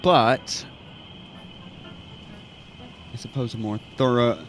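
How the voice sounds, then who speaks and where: A man's voice: mid-range voice, fairly even intonation, takes it slowly, faint music behind. A man playing a tabletop roleplaying game, chatting casually and cracking jokes and puns. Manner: joking, punning.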